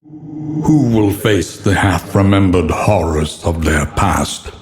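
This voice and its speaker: Gothic horror game narrator, male: very slow, grave and portentous, deliberate theatrical diction, drenched in cavernous reverb. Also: fairly low pitch, a little mellow.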